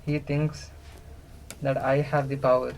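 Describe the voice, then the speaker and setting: Guy acting as a not quite human captive being, monotone and deliberate, radio play, bit of room noise. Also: mid-range voice, vocal fry. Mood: solemn pleading. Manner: flat, deliberate, naive questioning. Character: captive four-dimensional being made to resemble a human, curious, yearning for freedom. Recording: noisy recording with background ambience.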